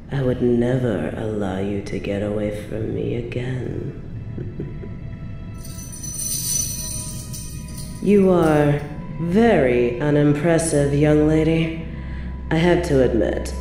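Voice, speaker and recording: A woman as a dark-voiced, cruel evil queen. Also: low-pitched. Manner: regal, mocking, theatrical, formal diction. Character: cruel, possessive, haughty evil medieval queen. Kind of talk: scripted roleplay audio drama. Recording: roomy reverb, music underneath.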